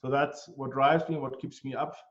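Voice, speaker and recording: A man with a German accent, answering interview questions in an earnest, measured way with frequent ums. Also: mid-range voice, level tone. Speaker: guest, healthcare tech executive. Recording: dull, lo-fi sound.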